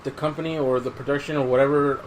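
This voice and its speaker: Casual man with a mid-pitched voice. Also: fairly even intonation, quick pace, slight background noise, poor audio. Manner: repeats phrases for emphasis. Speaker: podcast co-host. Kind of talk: casual chat.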